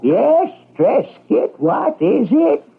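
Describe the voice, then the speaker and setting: A frail, fabulously rich old man in a vintage radio play. The voice is gravelly and swoops querulously, with halting, quavering words. The recording is dull and lo-fi. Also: very high-pitched, big pitch swings, faint music behind, heavily acted. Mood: feeble, reluctant. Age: older. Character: incredibly aged, frail, querulous richest man in the world. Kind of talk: old-time radio drama acting.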